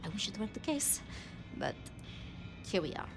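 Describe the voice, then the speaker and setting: An actress playing a modest, unsure vampire apprentice in a video game, speaking haltingly and self-deprecatingly. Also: female voice, medium pitch, very animated. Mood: hesitant confiding. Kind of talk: video game dialogue acting. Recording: music behind the voice.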